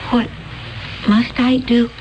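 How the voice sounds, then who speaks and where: Gentle anguished future girl, high slow pleading voice, noisy old radio drama recording. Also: little pitch movement, highs cut off. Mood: uneasy, hesitant. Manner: hesitant, pleading, formal diction. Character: anguished, gentle, reluctant young woman from a future world. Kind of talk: 1970s radio drama acting.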